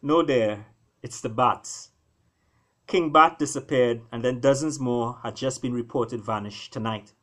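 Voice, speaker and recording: A man's voice: mid-range voice, a little slow, a bit bright. A man voicing a frank, affectionate female spider in a storybook, speaking directly and colloquially as a wife to her husband. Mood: gentle correcting.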